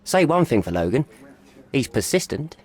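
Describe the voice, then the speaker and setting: A put-upon male police officer in a game cutscene. He is blunt and sardonic, griping in a gruff manner. His mid-pitched voice moves with animated, expressive swings. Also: normal speed. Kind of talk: video game cutscene acting.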